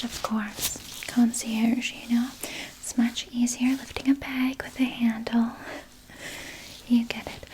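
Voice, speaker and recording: A woman voicing a soothing, sly vampire nurse in a gentle, breathy ASMR whisper, reassuring a patient with small hesitant pauses. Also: a little high, rough recording. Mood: soothing, evasive. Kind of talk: ASMR personal attention roleplay.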